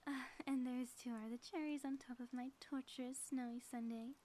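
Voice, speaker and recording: A woman playing a sly, theatrical supervillain inventor in an ASMR audio roleplay, speaking softly with pompous, taunting phrasing and often dropping toward a whisper. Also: high-pitched, steady tone, normal speed, lightly acted. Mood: gloating, menacing. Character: gloating female supervillain inventor, sly, theatrical, secretly smitten.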